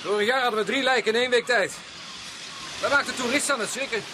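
A man's voice: very high-pitched, fast talker. An actor playing a chatty, bluff, seasoned policeman in a radio drama, casual and dryly joking. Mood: dryly matter-of-fact. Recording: clear background noise, outdoor vehicle ambience.

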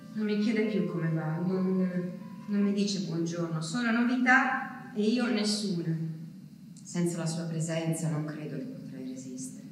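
A woman's voice: mid-range voice, a bit bright, lightly acted. Anguished wartime wife acted by a woman, echoey hall. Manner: intense, halting, grief-laden delivery. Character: anguished French wife awaiting her deported husband in wartime. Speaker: stage actress. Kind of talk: dramatic theatrical monologue, wartime diary. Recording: echoey hall, distant mic, music behind.

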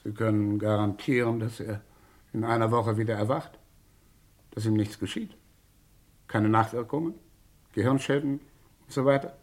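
Man to play wary rich investor in old radio thriller; rough husky tone, fairly low, deliberate theatrical phrasing. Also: fairly even intonation, a little mellow, natural voice. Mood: shrewd, businesslike. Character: wary, shrewd wealthy English gentleman investor. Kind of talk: German radio crime drama acting, mid-20th century.

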